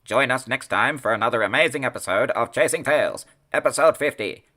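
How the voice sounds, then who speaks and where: A man's voice: mid-range voice, a little fast, bright, forceful tone. A man playing a bombastic announcer for a serial audio drama cliffhanger. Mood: excited.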